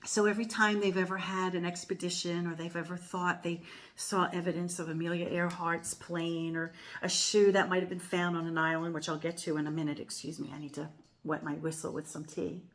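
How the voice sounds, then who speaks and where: Rambling older woman with a lowish voice. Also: level tone, fairly quick. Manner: rambling, many fillers and self-corrections. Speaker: tarot reader and channel host. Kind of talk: casual chatty monologue to viewers.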